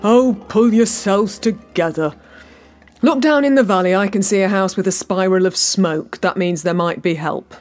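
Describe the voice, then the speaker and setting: A woman's voice: mid-range voice, darkish tone, soft background music, natural voice. A woman voicing a practical, bossy golden cow who gives orders to the other animals in a storybook tale. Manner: brisk, commanding, matter-of-fact.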